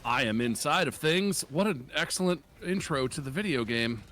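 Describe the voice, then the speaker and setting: A man's voice: medium pitch, animated, moderate pace, fairly bright tone. A man co-hosting a casual chat while gaming, with a wry, teasing manner.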